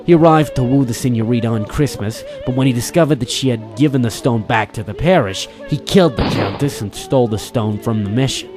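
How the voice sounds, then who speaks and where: A man's voice: mid-range voice, fairly quick, hardly any pauses, lightly acted. Man playing old investigator, formal, paternal, insistent, radio detective drama, prominent music underneath. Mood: grave storytelling. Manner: formal, avuncular, addresses listener as boy and son. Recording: music behind the voice.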